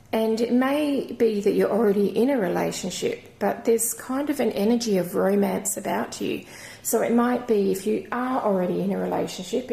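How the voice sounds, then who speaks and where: Croaky, gravelly-voiced woman giving a reading. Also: medium pitch. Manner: gentle, rambling, reassuring. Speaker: intuitive card reader. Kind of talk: channelled crystal reading to camera. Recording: echoey room.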